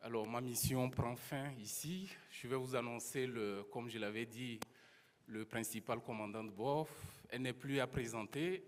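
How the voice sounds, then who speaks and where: A man's voice: medium pitch, normal speed, a little hiss or hum. A man introducing the next presenter with a courteous, welcoming formal announcement. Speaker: presenter handing over. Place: large conference hall. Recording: hall reverb with crowd.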